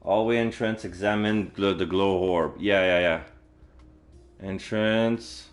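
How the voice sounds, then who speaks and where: A man's voice: low voice, steady tone, slow pace. A man livestreaming a game, reading visual novel text aloud and adding casual commentary as he plays. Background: game music.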